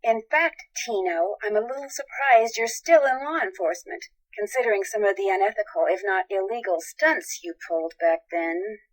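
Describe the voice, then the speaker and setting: Woman doing a young girl detective in a video game, medium pitch, polite, earnest, asks careful questions. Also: moderate pace, few pauses, lightly acted, rough recording. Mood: cool, wry. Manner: earnest, probing, articulate. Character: polite, inquisitive, composed young female amateur detective.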